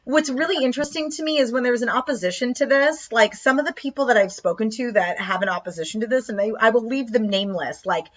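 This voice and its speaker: A woman comedian co-hosting a casual live chat, animated and talkative, often saying "like". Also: on the high side, fast, few pauses, muffled recording. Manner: chatty, animated, lots of "like". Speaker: comedian co-host.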